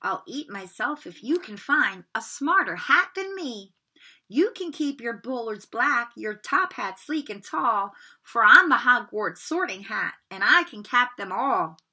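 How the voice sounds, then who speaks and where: Woman doing a boastful old talking hat, rhyming, bright and fairly high. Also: very animated, a little slow, breathy edge, heavily acted. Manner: sing-song rhyming recital.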